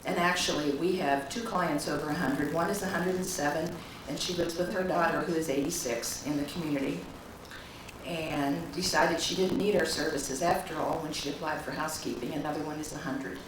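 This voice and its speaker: A woman, hoarse from a cold, giving an informative presentation at a county meeting with frequent ums. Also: low-pitched, brisk. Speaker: county office director. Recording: echoey room, distant mic, background noise.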